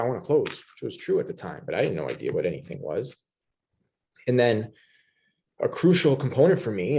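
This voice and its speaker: Low-voiced New York man. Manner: relaxed, many "you know" fillers and restarts. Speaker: podcast guest. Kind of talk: casual interview talk.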